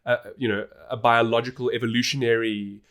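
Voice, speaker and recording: A man's voice: mid-range voice, little pitch movement, fairly quick, fairly bright tone. A man hosting a podcast, explaining things in a casual, informal and emphatic way. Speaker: podcast host.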